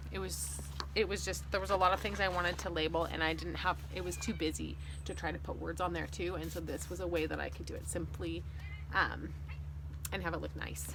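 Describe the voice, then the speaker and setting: A woman in her thirties or forties talking casually and expressively to viewers as an author hosting her own video. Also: on the low side. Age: 30s to 40s. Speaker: fantasy author and video host. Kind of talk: casual explanatory vlog to viewers. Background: faint ambient noise.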